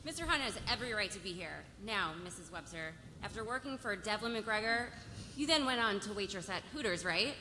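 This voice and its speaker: Bright, cutting cross-examining lawyer, hall reverb. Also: female voice, mid-range voice, lively intonation, a little fast. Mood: pressing. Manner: probing, pointed. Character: sharp, relentless female opposing lawyer cross-examining a witness. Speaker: actor. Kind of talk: live table read of a TV legal drama. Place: festival stage in a large hall. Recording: hall reverb with faint noise, live stage.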